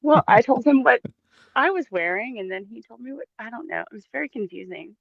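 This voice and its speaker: Animated, creaky woman on muffled mic. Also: on the high side, fairly quick, no room sound. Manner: playful, wry banter. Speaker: live stream co-host. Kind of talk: casual chat. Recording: dull, lo-fi dry mic.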